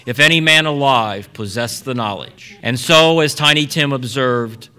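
A man's voice: mid-range voice, unhurried, pushed and bright, smooth. A man narrating and announcing a radio play in an old-time 1940s broadcast style. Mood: storytelling.

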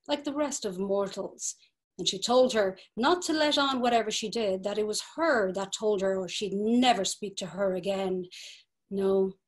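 Actress for a dreamy, romantic young Irish woman; higher voice, breathy whispers. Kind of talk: dramatic reading of a novel. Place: home, video call. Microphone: video call.

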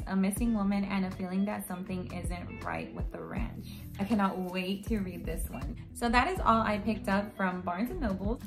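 A young woman in her twenties, a bit hoarse and raspy after losing her voice, chatting casually about books and reading blurbs aloud in her bedroom. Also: mid-range voice. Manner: chatty, reads book blurbs aloud, frequent "like" and "um". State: slightly hoarse from yelling at a concert. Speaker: book vlogger. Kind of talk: casual book haul chat. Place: bedroom, indoors. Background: background music.

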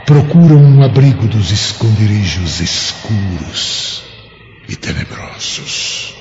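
Man playing very slow, gravelly, grandiose Roman. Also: on the low side, expressive. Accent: Brazilian. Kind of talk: dramatized audiobook monologue. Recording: dull lo-fi audio, background noise.

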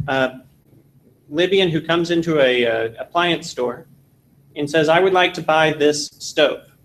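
A man from Texas in his forties, giving an animated classroom lecture and telling jokes in a seminary meeting room. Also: on the high side, a little hiss or hum, rough recording. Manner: animated storytelling with jokes, gesturing. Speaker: seminar instructor.

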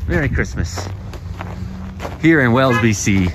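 A man's voice: medium pitch, animated, measured pace, background noise. A man in his forties with a Canadian accent, chatting casually and in a rambling, folksy way as he vlogs into a phone outdoors. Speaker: vlogger. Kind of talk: casual vlog chat. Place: mostly outdoors walking on a snowy rural road. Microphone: phone at arm's length.

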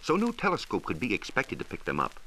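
An American man explaining science in a measured, precise, didactic way, in a scripted 1940s radio style. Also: on the low side, huge pitch swings, sharp tone, rough recording. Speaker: science reporter explaining astronomy. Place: radio studio. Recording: hissy 1940s radio transcription disc.

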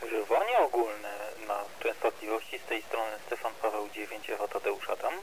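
A man's voice: fairly low pitch, normal speed. A man operating amateur radio, making a casual call, heard through a radio transmission. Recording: amateur radio with faint noise.

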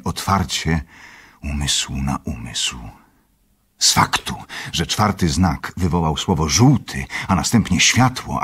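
An actor playing a scholarly, secretive doctor obsessed with power in a radio drama, speaking in a measured, clinical way. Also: male voice, rumbling low, fairly bright tone, slightly rough, heavily acted. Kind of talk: Polish radio drama acting.

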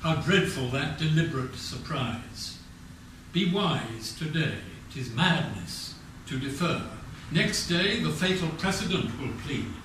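An older man in his seventies with an English accent, formally reciting poetry in a measured, declamatory manner. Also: a little high, lively intonation. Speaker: reader of poetry at a talk. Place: community meeting room with audience. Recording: very echoey room, distant mic, faint noise.

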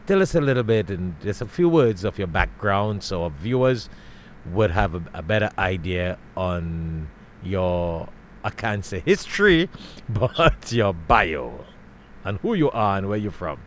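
A middle-aged man from Trinidad hosting an interview in a studio, speaking into a boom mic with frequent restarts and repetitions. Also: sing-song, unhurried, slight background noise. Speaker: interview host. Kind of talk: casual interview. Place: studio with world map backdrop.

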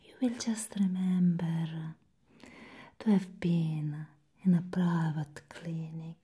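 A young woman playing a bored, condescending clinic secretary in a whispered ASMR roleplay, close to the microphone, offering slow, deliberate reassurance. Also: low-pitched, fairly even intonation, mellow, husky, full character voice. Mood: hushed, dismissive. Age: young adult.

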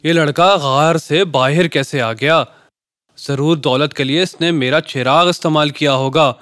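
An actor playing a scheming, menacing sorcerer in a children's fairy-tale cartoon. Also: male voice, on the high side, a bit bright, no echo, studio quality, lightly acted. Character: scheming male sorcerer plotting revenge, posing as lamp seller.

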